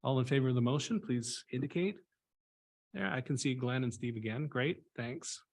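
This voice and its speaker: Man, meeting chair, reads motions briskly, medium pitch. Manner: brisk, procedural. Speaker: board chair.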